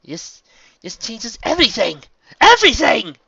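An earnest pony army captain in a storybook, acted by a man, dramatic and ceremonious. Her delivery swings widely in pitch and has an intense, bright edge. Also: animated, a little fast, no room sound, lo-fi sound. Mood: excited.

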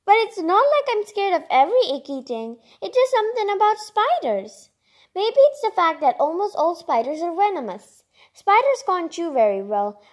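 High-pitched, animated woman reading aloud. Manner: exclamatory, expressive, informal. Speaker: read-aloud narrator. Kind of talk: children's picture book read-aloud with chatty asides.

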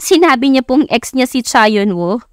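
A woman's voice: a little high, animated, normal speed, no room sound, pitched above the performer's own voice, natural voice. A Filipino woman voicing a bubbly, polite and teasing female secretary in an audiobook. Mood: incredulous, amused.

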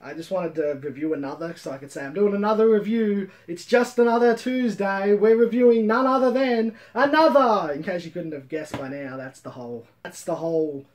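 A man's voice: high-pitched, animated, fairly quick, few pauses. A man in his thirties hosting a casual comedic review, rambling and swearing, repeating himself with mock-boastful swagger. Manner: rambling, sweary, repeats phrases for comic effect. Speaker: host of a manga review show. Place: room at home with bookshelves.

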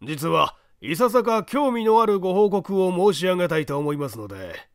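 A man voicing a sly, very polite old man with a husky voice in a storybook reading. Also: sits high, big pitch swings, no echo, clean studio sound, pitched above the performer's own voice. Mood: politely teasing. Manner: exaggeratedly polite, unhurried, teasing. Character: hoarse old man phone caller, polite, sly thief.

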